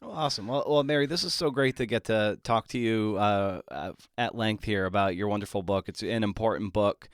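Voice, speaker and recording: A man with a mid-to-low voice of ordinary male range, recorded close with almost no room sound. He speaks as a podcast interviewer in a casual, halting way, circling and rewording his phrases. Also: no echo. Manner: casual, halting, rephrases and piles up adjectives.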